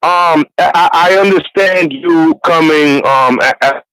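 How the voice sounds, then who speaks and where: A man's voice: on the high side, slow, fairly bright tone, clean tone, dry recording, poor audio. A man in his twenties from New York City, calling in to chat casually and rambling with many restarts. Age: 20s. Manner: rambling, restarts often, laughs easily. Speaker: caller. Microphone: phone call.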